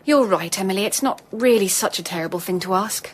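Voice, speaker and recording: A woman's voice: medium pitch, brisk, lightly acted. A woman playing a kindly, genteel lady in a radio drama, polite and well-spoken. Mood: warm, earnest.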